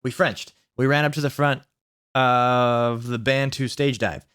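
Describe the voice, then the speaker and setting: A man's voice: medium pitch, steady tone, unhurried, fairly bright tone, clear, resonant tone, dry recording. A man hosting a comic dramatic reading of fan fiction, mock-earnest and sarcastic in his asides.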